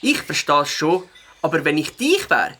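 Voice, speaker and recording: A man's voice: fairly high pitch, big pitch swings, a little slow, sharp tone, a little hiss or hum, exaggerated voice. Young beaver voiced by a man for a kids' audio story: Swiss German, good-natured, childlike, swooping intonation, birdsong behind. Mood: annoyed.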